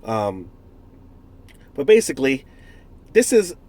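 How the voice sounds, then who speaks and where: A man's voice: mid-range voice, very animated, slow pace, mellow, no room sound. Congested man rambling through a gadget review. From United States. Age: 40s. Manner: rambling, self-correcting, jokey asides. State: stuffed-up nose from a cold. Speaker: product reviewer. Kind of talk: casual unboxing review.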